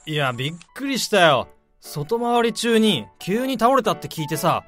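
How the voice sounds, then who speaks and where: A friendly, easygoing middle-aged manager in a radio drama. He sounds casual and reassuring, with a slightly raised voice and a very lively pitch. Faint music plays underneath. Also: normal speed. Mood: breezy. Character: easygoing male manager, friendly to his old peer. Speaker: section chief, colleague of the protagonist.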